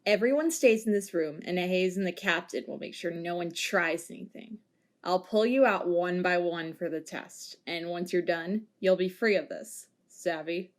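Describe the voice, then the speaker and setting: A woman's voice: fairly low pitch, moderate pace, lightly acted. A woman playing a cocky, teasing female security chief aboard a ship, in an amateur audio drama. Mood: scheming. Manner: brash, teasing, bossy.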